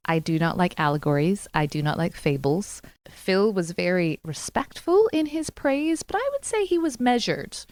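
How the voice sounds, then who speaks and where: A woman's voice: on the low side, sing-song, normal speed, darkish tone, no echo. A woman co-hosting a podcast, chatting casually and frankly about books with strong opinions. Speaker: book club podcast co-host. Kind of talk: casual book chat.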